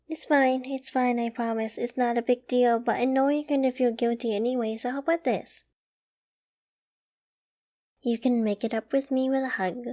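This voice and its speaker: An affectionate girlfriend acted out by a woman in an ASMR roleplay. Her voice sits on the high side. She is caring and coaxing, with gentle teasing and a playfully flustered edge. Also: lightly acted.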